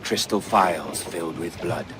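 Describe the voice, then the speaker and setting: A man playing a cunning, middle-aged pirate captain in a video game, with a Welsh accent and a measured, commanding, theatrical manner. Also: sits low, noisy background. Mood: calculating. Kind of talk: dramatic game cutscene acting. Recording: loud music behind the voice.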